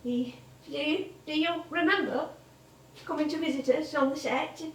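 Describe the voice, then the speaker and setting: Woman acting old english variety comedienne, high voice, rambly and wistful, echoey theatre, mic far off. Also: normal speed, slight background noise, natural voice. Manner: rambling, nostalgic, hesitant. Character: elderly music hall comedienne, chatty, wistful, reminiscing. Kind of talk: theatrical stage monologue and dialogue. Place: theatre stage.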